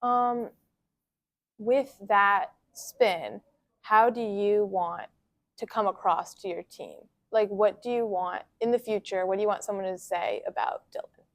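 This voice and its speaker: A woman's voice: mid-range voice, measured pace. A woman interviewing a student, asking casual, encouraging follow-up questions.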